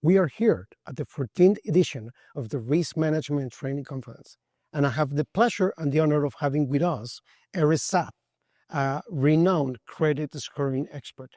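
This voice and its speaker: A man asks questions as an interviewer. His voice sits a little high but stays very mellow and soft-edged, recorded quite dry. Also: moderate pace, no echo. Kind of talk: on-camera conference interview.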